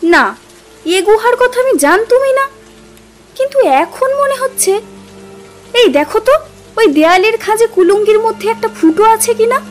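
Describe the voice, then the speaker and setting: An affectionate young wife in an audio drama, with a very high, girlish pitch. She speaks tenderly and expressively, with a dreamy unease. Music and rain play behind her. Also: expressive, normal speed, long pauses. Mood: dreamy, unsettled. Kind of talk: dramatized Bengali audio story.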